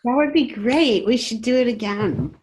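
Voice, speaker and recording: A woman's voice: a little high, moderate pace, a little mellow, poor audio. A woman chatting and telling an anecdote in a casual way on a video call. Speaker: musician, salon participant.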